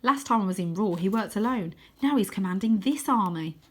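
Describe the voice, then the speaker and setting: A woman narrates a children's adventure story in a mid-pitched voice. Her reading is dramatic and deliberate, using hushed tones to build suspense. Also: expressive. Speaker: teacher reading aloud.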